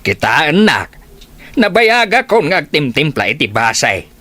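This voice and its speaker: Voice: medium pitch, very animated, a little slow, bright, forceful tone, no echo, exaggerated voice. Grumpy scolding village parent actor playing, ilocano accent, super up and down voice, dry sound, radio comedy.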